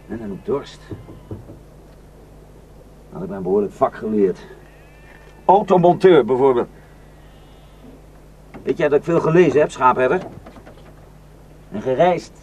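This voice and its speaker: A man's voice: mid-range voice, measured pace, pauses often, darkish tone, natural voice. An actor in a radio drama playing a weary, bitter, well-travelled man who grimly warns of atrocities. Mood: strained, exasperated. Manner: grim, cursing, rambling storyteller. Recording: noisy radio play recording.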